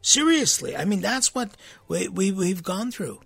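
A priest hosting his podcast, a man with a raspy, gravelly and somewhat husky voice pitched a little high. He talks earnestly and conversationally in a studio, with restarts and sudden emphatic rises. The sound is dry, with faint music underneath. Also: big pitch swings, a little slow, a bit bright, no room sound. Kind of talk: informal spiritual talk.

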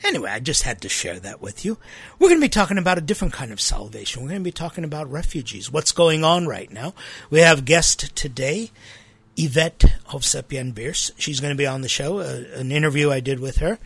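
A man with a slightly husky voice hosts a church talk show in a relaxed, conversational way. His first language is Armenian, and he grew up in the United States. He keeps checking in with the listener through quick rhetorical questions. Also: fairly high pitch, no room sound. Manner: conversational, often adds rhetorical tag questions. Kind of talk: casual religious talk.

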